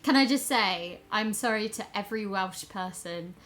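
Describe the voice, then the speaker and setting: A woman streamer in casual chat, effusive but halting as she gathers her words. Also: mid-range voice, a bit bright, a little hiss or hum. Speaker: video game streamer.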